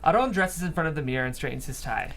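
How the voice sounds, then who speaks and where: A man's voice: on the high side, lively intonation, fast talker, vocal fry, a bit bright, slight background noise. A man reading scripted stage directions as the narrator of a table read.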